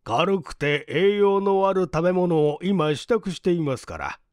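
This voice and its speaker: A man voicing a genial, dignified older gentleman with a measured, courteous manner in a story reading. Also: sits high, big pitch swings, dry recording, studio quality, pitched above the performer's own voice. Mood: hospitable.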